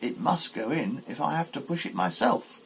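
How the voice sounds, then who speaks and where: A man's voice: fairly high pitch, animated, normal speed, creaky, a little hiss or hum, pitched above the performer's own voice, rough recording. A man voicing a proud, protective mother duck in an exclaiming, instructive way, as in a storybook reading. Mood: puzzled, firm.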